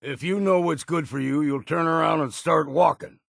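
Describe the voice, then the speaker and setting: An actor playing a gruff, weary old hunter in a video game, grumbling and wary in a folksy, plainspoken rustic drawl. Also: male voice, a little high, normal speed, fairly bright tone, dry recording, studio quality, full character voice. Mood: stern, disapproving. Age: older. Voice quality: gravelly, husky. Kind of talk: video game character voice lines.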